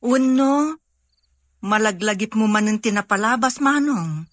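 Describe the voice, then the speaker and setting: A woman's voice: a little high, animated, unhurried, dry recording, clean studio sound. A woman with an Ilocano Filipino accent playing a concerned, probing married sister in a radio drama.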